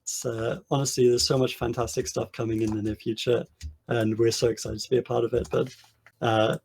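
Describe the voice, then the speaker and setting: A man's voice: mid-range voice, flat delivery, fairly quick, vocal fry, a little mellow, a little hiss or hum. A man casting a live esports event with enthusiastic energy. His voice is starting to tire after a long night, and he sits in the middle of the usual male range. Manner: enthusiastic, thanks donors effusively. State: voice tiring. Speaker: esports caster.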